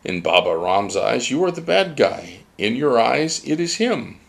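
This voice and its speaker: A man's voice: mid-range voice, very animated, a little slow, gravelly, pitched above the performer's own voice. A man voicing a mysterious spirit teacher that takes a child's form, speaking bluntly and instructively.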